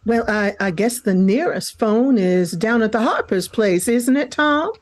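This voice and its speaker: Woman as motherly older country wife, very unhurried, lightly high voice, homely, welcoming, radio drama. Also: expressive. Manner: homely, hesitant. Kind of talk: old-time radio drama acting.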